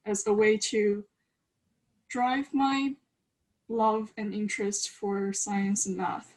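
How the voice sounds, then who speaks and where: A woman's voice: mid-range voice, low-quality recording. A woman in her early twenties, from China and raised partly in eastern Canada, speaking informally as a student panelist. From China, then eastern Canada from age ten. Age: early 20s. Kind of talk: informal self-introduction on a panel.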